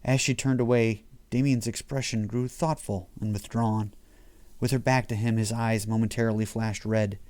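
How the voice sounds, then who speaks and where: Low-voiced man narrating horror fiction. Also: fairly even intonation, moderate pace, a little mellow. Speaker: author reading his own short story. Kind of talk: scripted horror audiobook narration.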